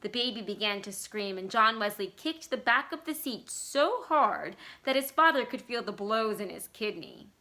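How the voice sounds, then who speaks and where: Bright-voiced woman reading a story. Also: mid-range voice, expressive, moderate pace, hardly any pauses. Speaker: library staff story reader. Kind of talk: scripted literary narration, expressive reading.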